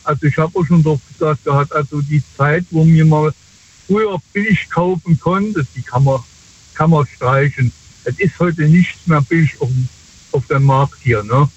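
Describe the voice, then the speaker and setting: Husky higher-voiced male caller on dull line. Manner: rambling, halting, colloquial with tag questions. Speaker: phone-in caller. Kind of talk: casual chat. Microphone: telephone. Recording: dull lo-fi phone call.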